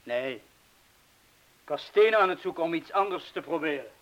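A disillusioned middle-aged man in a radio play, somewhat high in pitch. He is irritable and nervous, with phrases that break off and start again as he works under danger. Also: lively intonation, fairly bright tone, slight background noise, poor audio. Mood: weary, grave. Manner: halting, with broken-off phrases and repeats. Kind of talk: radio drama acting.

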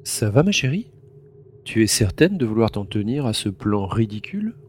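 An actor playing a dignified minister and concerned father in an audio drama, with a husky voice. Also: fairly low pitch, very animated, normal speed, darkish tone, a little hiss or hum. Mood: worried.

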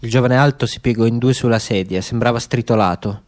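A man's voice: medium pitch, brisk, a little mellow. A man narrating a literary story as dramatic radio theatre. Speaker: narrator and actor.

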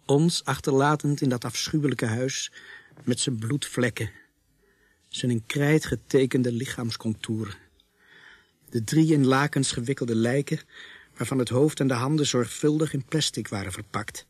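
A man's voice: medium pitch, slightly rough, no echo. A man playing a grim, haunted policeman who narrates a radio play.

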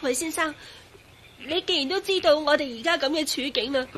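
Yin Fan, high-voiced caring woman. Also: a little fast, a little hiss or hum. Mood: startled, earnest. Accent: Hong Kong Cantonese. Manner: coaxing, gentle, earnest. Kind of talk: radio drama acting.

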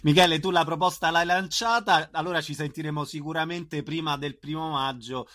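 A man's voice: a little high, steady tone, fairly quick, bright, forceful tone. A man hosting a conversational radio interview, putting questions to his guest.

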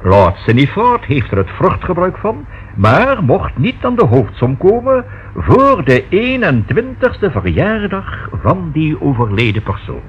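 A man's voice: a little high, wildly swooping pitch. A man acting a discreet, proper notary in a Dutch radio play, speaking formally and choosing precise words. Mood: patient, explanatory. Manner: formal, measured, precisely worded. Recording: dull, faintly noisy old radio recording.

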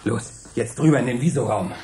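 A man playing a decisive, scheming group leader in a radio drama, brisk and practical. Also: medium pitch, noisy background, natural voice.

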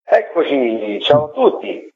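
A man's voice: on the high side, sing-song, takes it slowly, creaky. Man on a phone-like line. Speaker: author being interviewed. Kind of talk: conversational interview answer. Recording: tinny narrow phone-like line.

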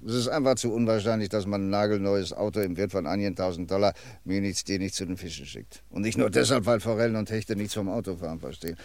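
A man playing a shrewd, dryly ironic police commissioner in an old radio crime drama, talking in a measured, lecturing way. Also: on the low side, darkish tone, close to an everyday voice. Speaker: police inspector.

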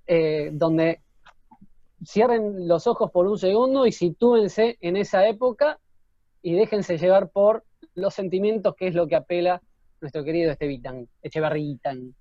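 A man's voice: high voice, moderate pace, dark tone, no echo, muffled recording. A man with an Argentine accent co-hosting an online show in a casual chatty style, addressing the audience. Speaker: co-host.

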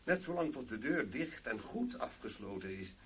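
An actor playing a formal, precise and officious company manager in a radio drama. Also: male voice, mid-range voice, very animated, moderate pace, a little hiss or hum, natural voice. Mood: formal, proud. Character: formal male security-minded company manager showing a vault.

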